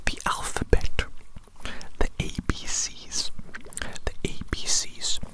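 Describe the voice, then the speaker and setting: A performer playing an eccentric, doting evil doctor in a whispered ASMR roleplay, breathy and playful, repeating phrases soothingly. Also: lightly acted.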